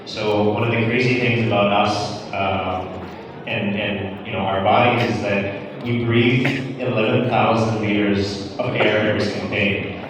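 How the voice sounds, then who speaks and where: Indonesia-born founder in echoey hall. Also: male voice, fairly low pitch, steady tone, measured pace. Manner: fluent, reflective, many fillers. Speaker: startup founder, panelist. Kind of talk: panel talk. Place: large event hall. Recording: echoey hall from far off, noisy.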